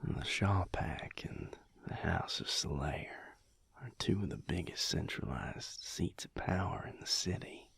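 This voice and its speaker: A man playing a surly but affectionate vampire cowboy lover in an intimate audio roleplay, with a Southern US accent and a slow, wry, breathy, gravelly murmur. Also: low voice, fairly bright tone, no echo, exaggerated voice. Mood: relaxed, sardonic. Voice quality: breathy, gravelly, half-whispered.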